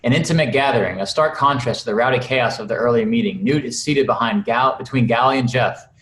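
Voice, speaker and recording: A man's voice: mid-range voice, quick pace, a bit bright. A man reading screenplay stage directions aloud as narrator at a table read over a video call. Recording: roomy video call, faint noise.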